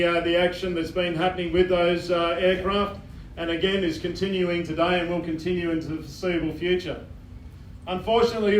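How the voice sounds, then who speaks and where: An Australian man with a fairly high voice briefs a crowd as incident controller, loud and plain-spoken, pausing often on "uh". He is miked in a roomy hall and heard from some distance. A faint hum of ambience sits behind him. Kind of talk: public briefing at a community meeting. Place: bowling club function hall. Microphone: microphone addressing a room.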